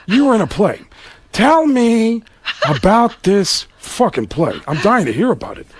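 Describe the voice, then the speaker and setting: A man doing an impression of a gruff, sardonic middle-aged male film star, drawing out his words menacingly. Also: high-pitched, big pitch swings, noisy background, pitched above the performer's own voice. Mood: sardonic menace. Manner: slow sardonic drawl with drawn-out emphasis.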